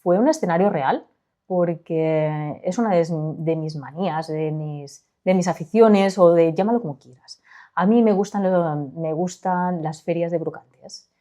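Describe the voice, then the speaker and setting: A woman's voice: lowish voice, a little slow. A woman with a Spanish accent from Spain, chatting expressively about her story and then narrating it into a studio microphone. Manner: lively, expressive, gesturing while talking. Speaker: author reading her own story.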